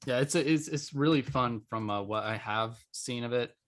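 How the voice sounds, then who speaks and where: A man's voice: mid-range voice, normal speed, a bit bright. A man hosting a podcast, talking in a casual, loosely phrased conversational way. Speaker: podcast host.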